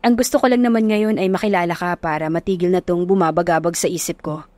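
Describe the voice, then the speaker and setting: A woman voicing a sincere, soft-spoken young man courting in an audio romance, with a measured manner and Filipino accent. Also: lowish voice, steady tone, dry recording, faint music behind, pitched below the performer's own voice, natural voice.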